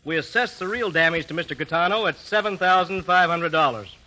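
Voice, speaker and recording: A man playing a solemn jury foreman, formal and deliberate, in 1950s radio drama. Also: a little high, animated, bright, forceful tone. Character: solemn male jury foreman delivering a verdict. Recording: faintly noisy old radio recording.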